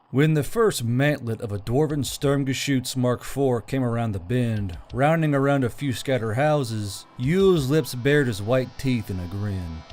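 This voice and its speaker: A man's voice: mid-range voice, a little mellow. A man narrating a story in a scripted audiobook style.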